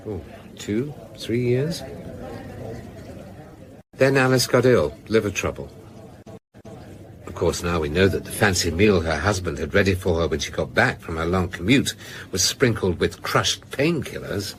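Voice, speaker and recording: An actor in a radio drama playing a rueful, sardonic novelist who confesses in a measured, hesitant way. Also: male voice, low-pitched, lively intonation, a little hiss or hum. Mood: grave, confessing.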